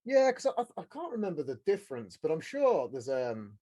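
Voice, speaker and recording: A man hosting a podcast as a casual, blokey, excitable chat with plenty of swearing. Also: a little high, fairly quick, dry recording, studio quality. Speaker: podcast host. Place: small studio room.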